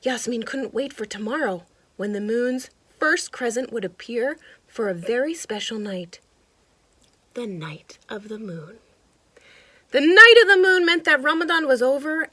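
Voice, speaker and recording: A woman's voice: high voice, no echo. A woman reading a children's picture book aloud expressively, with asides spoken directly to young listeners. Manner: animated, addressing children directly, pointing things out. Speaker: children's storytime host and reader.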